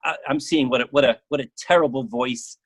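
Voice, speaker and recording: A man speaking casually as an interview guest about his work, often halting and repeating words as he goes. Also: medium pitch, husky.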